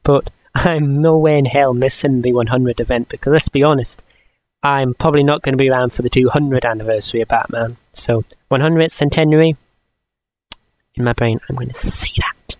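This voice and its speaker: A man of twenty-one giving a casual, chatty comics review for a vlog, rambling and often halting mid-sentence. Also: medium pitch, fairly even intonation, a little mellow. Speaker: vlogger.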